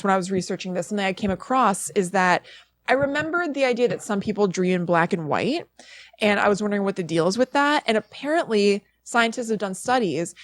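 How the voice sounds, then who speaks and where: A woman's voice: mid-range voice, no room sound. A woman co-hosting a podcast, explaining things in a casual, informal, musing way with plenty of filler words. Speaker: podcast co-host presenting research.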